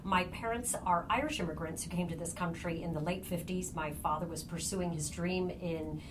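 A woman's voice: fairly low pitch, moderate pace. A middle-aged woman with an American accent, telling personal stories casually as a podcast guest. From born in Kenya, raised partly in Tanzania and the US. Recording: clear background noise.

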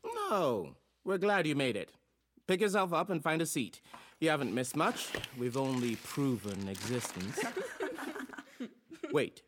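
A man's voice: mid-range voice, full character voice. An actor playing a playful, provocative male philosophy professor in an audio drama, lecturing theatrically with rhetorical flourishes. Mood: teasing. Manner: theatrical, rhetorical, addresses a class like a host.

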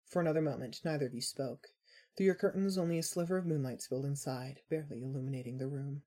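A woman reading scripted fiction narration in a measured, dramatic storytelling manner. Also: rumbling low, dark tone.

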